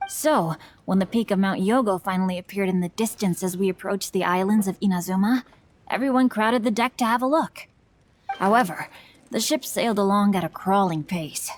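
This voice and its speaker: A woman's voice: medium pitch, normal speed, a little hiss or hum, lightly acted. A woman voicing a bookish, polite teenage boy novelist in a video game, speaking formally and eloquently. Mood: recounting.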